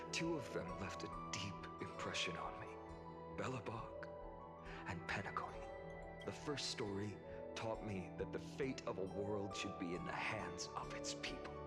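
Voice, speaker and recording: An actor voicing an earnest young male leader in a video game, speaking in a sincere, measured way. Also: lowish voice, natural voice. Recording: game audio with music and reverb.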